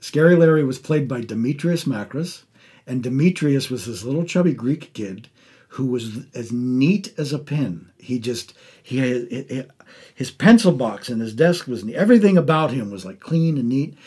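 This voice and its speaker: A middle-aged man with a husky voice hosting a conversational interview in a studio. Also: medium pitch, animated, normal speed, darkish tone. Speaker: host and interviewer. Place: recording studio.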